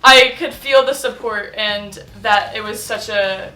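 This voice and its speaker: A woman's voice: medium pitch, measured pace, bright, forceful tone. A young woman talking casually in an interview, chatty with many fillers and laughs. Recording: roomy echo with faint music.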